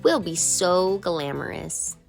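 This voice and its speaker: A woman's voice: lowish voice, lively intonation, slow, gravelly, a little mellow, soft background music, full character voice. A woman voicing vain, gossipy storybook hens in a theatrical, emphatic manner. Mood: excited, preening. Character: vain, indignant, gossipy female farm hens.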